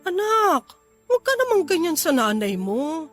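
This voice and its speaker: A woman's voice: extremely high pitch, sing-song, moderate pace, a bit bright, faint music behind, exaggerated voice. A woman playing a forgetful, apologetic old mother in a radio drama, meek, hesitant and pleading. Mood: meek, defensive. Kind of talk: Tagalog radio drama acting.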